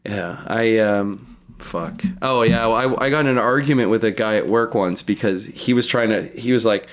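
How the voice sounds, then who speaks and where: A man's voice: on the low side, normal speed. Crude, casual man in his early thirties. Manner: crude, heavy swearing, slangy. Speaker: podcast co-host. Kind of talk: casual comedic chat, telling anecdotes.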